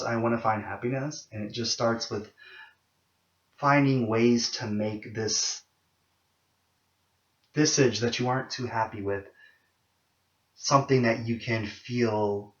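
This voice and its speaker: A young man with a low voice talks straight to his viewers, candid and rambling, full of ums and false starts. The sound is a little muffled and lo-fi. His voice carries the echo of a bare room. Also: steady tone, takes it slowly, pauses often. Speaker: vlogger. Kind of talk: casual monologue to camera.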